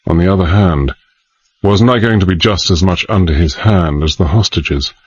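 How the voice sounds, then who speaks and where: An actor playing a resolute middle-aged gentleman adventurer, narrating his exploits in measured, formal, old-fashioned phrasing. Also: sits low, breathy edge, no room sound, highs cut off. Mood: grave, reflective. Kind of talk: dramatised first-person narration and dialogue.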